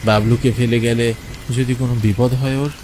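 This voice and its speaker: A man's voice: medium pitch, level tone, fairly quick, background noise, close to an everyday voice. A man playing a frightened village fisherman, narrating a cartoon horror story in the first person with suspense and anxious urgency. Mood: frustrated, worried.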